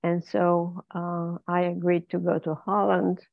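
A woman's voice: on the low side, little pitch movement, measured pace, creaky, dry recording, phone-quality sound. An older woman from Izmir, telling her life story unhurriedly as an interview guest and laughing often. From Izmir, Turkey. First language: Ladino. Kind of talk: remote interview, personal story.

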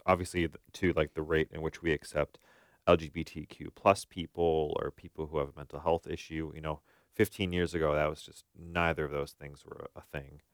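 A man's voice: very deep voice, no echo, clean studio sound. A man co-hosting a talk show as a therapist, discussing informally and thinking aloud as he goes. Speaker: podcast co-host, therapist. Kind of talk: casual discussion.

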